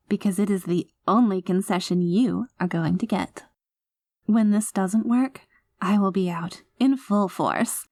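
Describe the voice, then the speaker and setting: A woman's voice: medium pitch, a little mellow, no room sound, close to an everyday voice. An obsessive, unraveling girlfriend acted by a woman in an ASMR-style audio drama. Her mid-range voice sits in a very dry recording. She delivers pet names and threats with the same theatrical sweetness, possessive and menacing. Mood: unhinged, resolute.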